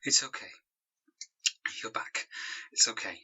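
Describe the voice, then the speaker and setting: An actor playing a steady, compassionate survivor in an audio drama, raspy, earnest and reassuring. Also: male voice, a little slow. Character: compassionate, steady man comforting fellow survivors.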